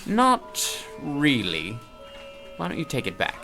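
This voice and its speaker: A man's voice: on the high side, huge pitch swings, slow pace, creaky, music in the background, full character voice. An actor playing a curt, dismissive man absorbed in his work, in a radio drama.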